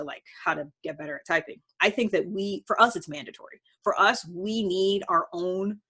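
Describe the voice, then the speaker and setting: Female vlogger, slightly low register, informal explainer to viewers, loose and rambling delivery. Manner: conversational, rambling, hedging with "like" and "sort of". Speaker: video blogger on personality typing. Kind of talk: casual unscripted explainer to camera.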